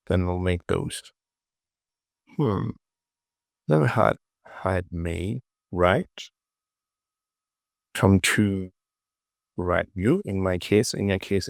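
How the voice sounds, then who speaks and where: A man giving an informal software tutorial, muttering short clipped instructions to himself and often repeating words. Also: deep voice, gravelly, no echo, studio quality. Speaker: 3D modeling tutorial presenter.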